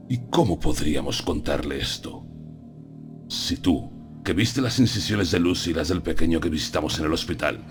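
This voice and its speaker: An actor playing a solemn, learned old professor who hunts vampires, explaining things deliberately in a gothic audiobook drama. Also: male voice, low voice. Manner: solemn, didactic, deliberate phrasing.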